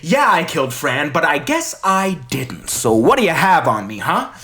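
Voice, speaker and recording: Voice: medium pitch, very animated, normal speed, pushed and bright, husky, heavily acted. A performer reciting as an arrogant, spiteful rich young man, a cornered villain with a sneering, entitled manner. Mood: smug, menacing. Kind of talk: dramatic recitation of a film scene.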